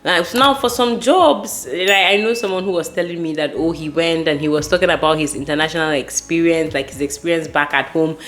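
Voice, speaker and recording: A woman's voice: deep voice, steady tone, brisk, hardly any pauses, fairly bright tone. A woman from Nigeria with a Nigerian accent gives casual advice to viewers as a host, speaking emphatically and repeating key words. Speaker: host giving career advice.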